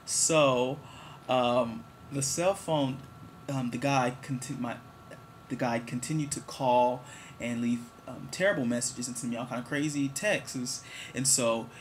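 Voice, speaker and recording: A man's voice: medium pitch, unhurried, slight background noise. A man in his twenties, answering viewer questions in a candid, rambling, joking way. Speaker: vlogger. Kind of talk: casual talk to camera.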